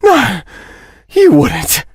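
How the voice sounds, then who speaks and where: Man voicing a volatile, tormented cartoon man, wildly swinging pitch. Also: huge pitch swings, extremely slow, slightly rough, no room sound, studio quality, exaggerated voice. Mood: desperate pleading. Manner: theatrical, swearing, volatile delivery. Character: tormented cartoon man, bitter, taunting, vengeful, then desperate. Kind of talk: dramatic visual novel game voice acting.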